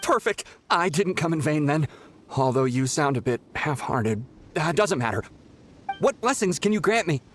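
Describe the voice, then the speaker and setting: High-voiced, excitable young pilgrim. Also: male voice, normal speed, slight background noise. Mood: impatient. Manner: theatrical, pleading, petulant. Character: whiny young male pilgrim, excitable, greedy and impatient. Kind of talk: anime-style video game acting.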